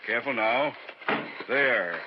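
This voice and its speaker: An American actor playing a pompous, scheming carnival professor in old-time radio horror, with grandiloquent, commanding patter. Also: male voice, mid-range voice, slow pace, natural voice. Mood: brisk, stern. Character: pompous, scheming carnival showman professor burying a man alive. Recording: muffled vintage radio recording with music.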